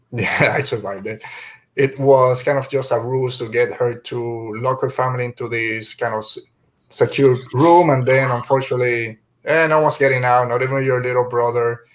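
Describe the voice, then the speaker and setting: A man's voice: medium pitch, steady tone, moderate pace, low-quality recording. A man hosting a podcast, chatting casually through a review and often searching for his words as he rambles. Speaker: podcast host.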